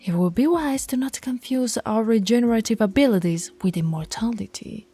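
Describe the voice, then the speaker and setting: Woman voicing a female werewolf alpha, commanding, scolding, mellow dark tone, soft whispers, audio roleplay. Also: fairly high pitch, lively intonation, normal speed, husky, faint music behind. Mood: stern. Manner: bossy and scolding, dropping to soft whispers at times.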